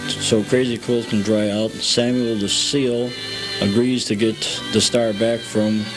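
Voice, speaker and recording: A man with a fairly low voice acts as a guest tour guide. He explains a story one step at a time in a plain, matter-of-fact manner. Music is clearly audible behind his voice. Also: little pitch movement, a little slow, rough recording.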